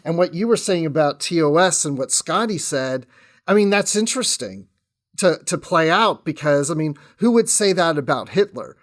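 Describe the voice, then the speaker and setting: A man's voice: fairly high pitch, animated, normal speed. A man chatting casually as a podcast guest, thinking aloud as he goes. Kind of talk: casual chat, discussion.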